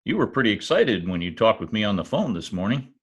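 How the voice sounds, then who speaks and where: A man's voice: lowish voice, very animated, quick pace, breathy edge. A man playing a glib, wisecracking private detective in an old-time radio drama. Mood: businesslike. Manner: glib, bantering.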